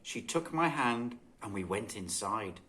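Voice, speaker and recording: A man reading a children's story expressively and dramatically, with deliberate phrasing. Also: on the low side, lively intonation, bright, forceful tone. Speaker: guest storybook reader.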